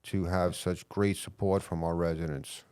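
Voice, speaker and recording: A middle-aged man with a New York accent and a deep, smooth, resonant voice. He speaks as a police chief in a relaxed interview, earnest and measured and often pausing on fillers. The sound is close and dry, with no room around it. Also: no echo. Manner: earnest, measured, frequent hesitations. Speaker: police chief, podcast guest. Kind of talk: casual interview.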